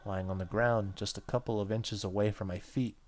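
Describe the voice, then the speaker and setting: Man, lowish voice, gaming video host, reads visual novel text aloud, casual reactions, faint background noise. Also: steady tone, fairly quick. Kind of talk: reading game dialogue aloud with casual reactions.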